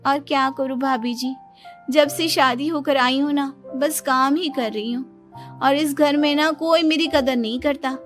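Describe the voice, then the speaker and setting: A woman's voice: high voice, steady tone, a little slow, soft background music. A woman voicing a self-pitying, boastful young wife in a cartoon story, complaining dramatically.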